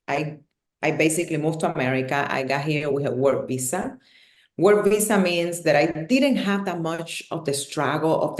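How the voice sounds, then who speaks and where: A woman's voice: low voice, roomy sound. A woman from Cuba with a Cuban Spanish accent, speaking candidly as a podcast guest and often laughing. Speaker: guest, hairstylist and educator. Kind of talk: casual podcast interview.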